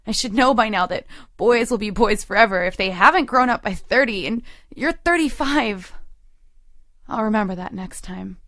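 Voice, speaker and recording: A woman's voice: medium pitch, expressive, normal speed, a bit bright, no echo, studio quality. A woman playing a hurt, resolute girlfriend in an audio drama, with an intimate, controlled and emotionally weighted delivery. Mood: firm, heated. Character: hurt, resolute girlfriend leaving her long-term boyfriend. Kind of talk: audio drama acting with first-person narration.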